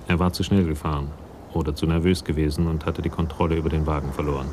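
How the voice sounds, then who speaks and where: A man with a gravelly voice reading scripted literary narration for a German radio crime drama. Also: deep voice, darkish tone, slight background noise.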